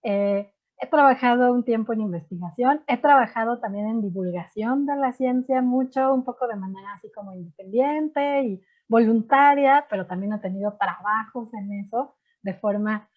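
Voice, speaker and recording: A woman in her thirties with a Mexican accent, talking through her teaching life in a relaxed, rambling way. Her voice sits a little high. The audio is dull and lo-fi, like a remote call. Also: normal speed. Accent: Mexican Spanish. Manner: chatty, frequent fillers like "este" and "pues". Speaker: guest, chemistry teacher. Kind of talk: relaxed radio interview chat.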